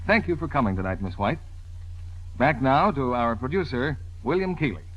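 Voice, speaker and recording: A man's voice: medium pitch, expressive, normal speed, fairly bright tone. A man announcing in a scripted 1940s radio style. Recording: dull vintage radio recording.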